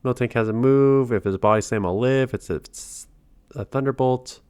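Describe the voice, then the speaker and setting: A man's voice: mid-range voice, a little fast, darkish tone, smooth, dry recording. A man with a cold, nasal and congested, giving casual commentary on his own game match, thinking aloud and breaking off mid-sentence. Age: adult father. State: sick with a cold. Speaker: gaming channel host.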